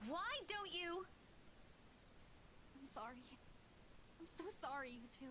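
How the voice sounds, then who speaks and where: A woman voicing an anxious, earnest young woman in a horror visual novel who apologizes yet stays determined, with a soft, pleading delivery. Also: high voice, expressive, takes it slowly, noisy background. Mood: remorseful. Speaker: game character.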